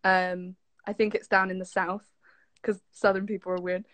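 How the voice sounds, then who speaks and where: A young woman with an English accent talks in a casual, giggly way, sharing bits of trivia. Her voice sits at an average pitch for a woman. The sound is dry, with little room echo. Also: level tone, moderate pace, a bit bright. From southwest England. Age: young adult. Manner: giggly, informal. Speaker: guest, former camp staffer. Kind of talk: casual chat sharing fun facts.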